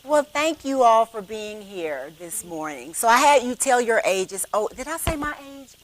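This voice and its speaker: A woman's voice: on the high side, sing-song, moderate pace, low-quality recording. A woman in her fifties hosting a church panel discussion, conversational and often checking in with the room. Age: 50s. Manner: warm, conversational, checks in with "okay?". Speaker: host, moderator of a women's panel.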